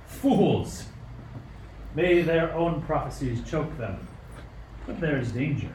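A man's voice: on the high side, animated, a little mellow. Man acting a scheming old king, roomy and distant. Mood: bitter, plotting. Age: older. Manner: regal, theatrical, deliberate. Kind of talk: amateur radio play acting. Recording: roomy, distant mic with background hiss.

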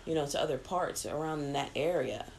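A woman guest on a casual podcast, relaxed and matter-of-fact, often filling with "you know". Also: sits low, normal speed, gravelly. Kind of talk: casual explicit podcast chat.

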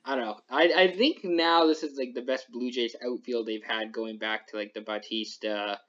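A man's voice: mid-range voice, fairly even intonation, normal speed, a bit bright, dull audio. A young man hosting a podcast, chatting casually about sports in a rambling, self-interrupting way. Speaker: podcast host.

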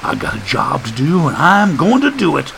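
A man's voice: fairly high pitch, huge pitch swings, moderate pace, vocal fry, a bit bright, a little hiss or hum, heavily acted. A man voicing a folksy, preachy wisecracking man who talks in country grammar and sermonizing quips. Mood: teasing.

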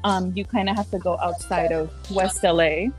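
A young adult woman from San Diego, a social worker talking casually as a podcast guest, with soft laughs and many fillers. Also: on the low side, fairly even intonation, normal speed, faint music behind, low-quality recording. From San Diego, California. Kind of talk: casual interview chat.

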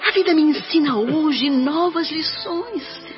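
A woman's voice: high voice, lively intonation, slow. An actress with a Brazilian accent playing a tender, pious spirit mother who speaks solemnly to her son in a melodramatic radio drama. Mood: tender sorrow. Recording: muffled radio drama with music behind.

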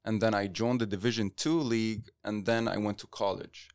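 A young man, a podcast guest telling his playing story in a relaxed way, full of fillers. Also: fairly low pitch, a little fast, clear, resonant tone, no room sound, studio quality. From Lebanon. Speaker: podcast guest, futsal player. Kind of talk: casual interview chat.

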